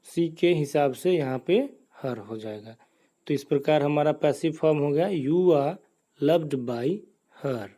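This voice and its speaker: A man's voice: fairly high pitch, slow, warm tone. A man with an Indian accent teaching grammar step by step in a methodical, explanatory manner. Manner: methodical, explanatory, poses questions and answers them. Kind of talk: step-by-step lesson in Hindi with English examples.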